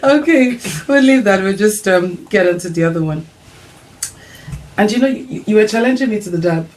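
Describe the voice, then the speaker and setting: A woman's voice: mid-range voice, expressive, moderate pace. A middle-aged woman from Nigeria hosting a casual chat show for mums, upbeat and playfully teasing, with frequent ums. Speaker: host of an online mums' group show. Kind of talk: casual chat, interviewing her son.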